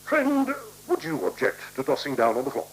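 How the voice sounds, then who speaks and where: A chatty fussy british bloke in an old radio play, swoopy voice, bit of hum and hiss. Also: male voice, mid-range voice, wildly swooping pitch, normal speed. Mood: matey, organizing. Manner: fussy, colloquial, hesitant asides. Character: chatty, order-obsessed, matey man organizing shared lodgings. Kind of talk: 1970s radio play acting. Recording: hissy, humming 1970s radio recording.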